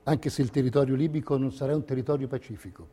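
A man narrating a scripted theatre piece as a measured, pointed storyteller. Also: medium pitch, dark tone, husky. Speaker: stage narrator.

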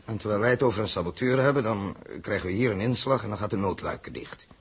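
An actor playing a flustered, mildly pompous spaceship captain in a 1960s Dutch radio drama, hesitant and repeating himself. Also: male voice, lowish voice, quick pace, slightly rough. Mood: hesitant, organising. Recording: slightly muffled old radio drama recording.

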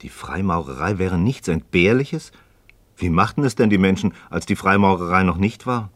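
An actor playing an inquisitive, sceptical friend in a radio dialogue, probing in formal, expressive speech. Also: male voice, sits low, moderate pace, no echo. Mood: sceptical, insistent. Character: inquisitive, sceptical male friend pressing for answers. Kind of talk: German radio play dialogue.

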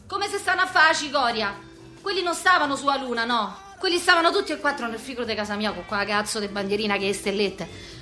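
A wounded, brash street girl with a thick Roman accent in a stage-style monologue. Her voice is very high and sharp. She talks in excitable, repetitive slang, with faint music behind her. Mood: subdued, dreamy. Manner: slangy, repetitive, excitable, acting out many people. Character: brash, wounded working-class Roman street girl, childlike and foul-mouthed.

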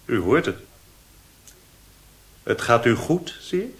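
A sovereign ruler in a radio play, with a medium-pitched voice. He is courteous yet commanding and gives orders with measured authority. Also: sing-song. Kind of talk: radio drama acting.

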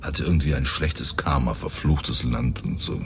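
Deep-voiced, laid-back sidekick. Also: male voice, normal speed, vocal fry, slight background noise. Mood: reflective. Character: laid-back, practical male sidekick of a private detective. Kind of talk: radio crime drama dialogue.

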